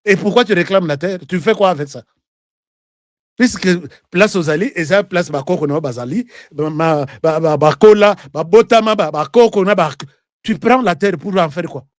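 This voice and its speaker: A man's voice: high voice, normal speed, no room sound, clean studio sound. A man with a Congolese accent hosting a live political commentary show, arguing with rhetorical questions and repeated phrases, switching between two languages. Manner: animated, argumentative, rhetorical questions and repetition. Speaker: online political show host. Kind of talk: live political commentary to viewers, mixing French and Lingala.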